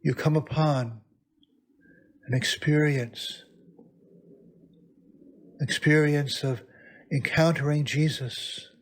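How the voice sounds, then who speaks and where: A man leading a guided meditation as a priest, speaking reverently in short phrases with long pauses between them. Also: on the high side, steady tone. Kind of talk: guided meditation, spoken prayer reflection.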